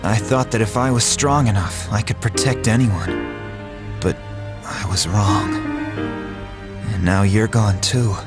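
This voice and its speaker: A man's voice: on the low side, measured pace, natural voice. A man playing a tough, brooding young fighter wracked with guilt in a video game, speaking in a blunt, earnest way. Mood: bitter regret. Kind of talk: dramatic video game voice acting. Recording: loud music behind the voice. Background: music.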